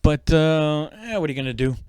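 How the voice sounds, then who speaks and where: A man talking in a casual, rambling podcast monologue, halting and conversational, with frequent swearing. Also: a little high, darkish tone, clean tone, dry recording. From immigrant, moved abroad at seven. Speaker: stand-up comedian podcast host.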